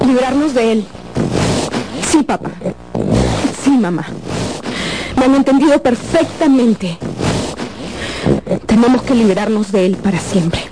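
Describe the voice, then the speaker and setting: A woman's voice: on the high side, unhurried, pauses often, lightly acted, rough recording. A woman playing a cold, resolute young sister who has turned against her brother, in a radio drama.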